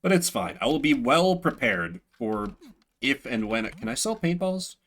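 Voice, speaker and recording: A man streaming a game playthrough, casually commenting and reading game text aloud in an expressive, slangy way. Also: medium pitch, moderate pace.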